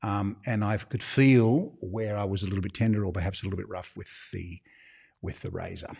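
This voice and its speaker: A man's voice: fairly low pitch, steady tone, brisk, vocal fry, a little mellow, husky. An Australian man who runs a shop, hosting a casual livestream Q&A. He rambles and drops joking, conspiratorial asides. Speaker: shop owner hosting a live Q&A.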